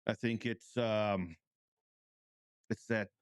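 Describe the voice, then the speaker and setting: A man in casual podcast conversation, speaking in hesitant, halting phrases as he hosts. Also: on the low side, steady tone, clean tone, no room sound, clean studio sound.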